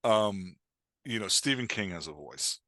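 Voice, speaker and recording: A man with a lowish, forceful, bright voice, recorded close and dry. He explains like a coach on a podcast, informal and halting, pressing his points and checking that the listener follows. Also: expressive, dry recording. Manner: informal, halting, filler-heavy, emphatic with check-in questions. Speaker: writing coach, podcast guest. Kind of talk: casual podcast interview, explaining.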